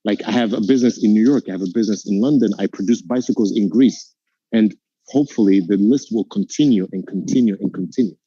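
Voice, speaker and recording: A man's voice: fairly low pitch, fast talker, dark tone, breathy edge, no room sound, lo-fi sound. A middle-aged man from Uganda with an American-tinged accent, giving an earnest, motivational interview answer. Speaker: guest entrepreneur.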